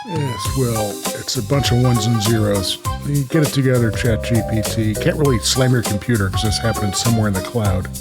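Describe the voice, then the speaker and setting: A man's voice: on the low side, moderate pace, hardly any pauses, loud music behind the voice. A man hosting a show with casual chat and reading aloud, in a playful, goofy manner. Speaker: show host and creator.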